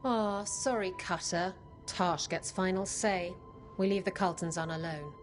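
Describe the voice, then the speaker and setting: A woman's voice: fairly low pitch, measured pace, faint music behind, natural voice. A woman playing a teasing, adventurous leader of treasure hunters in a fantasy video game, savoring her words. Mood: firm, teasing. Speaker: voice actor. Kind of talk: video game cutscene acting.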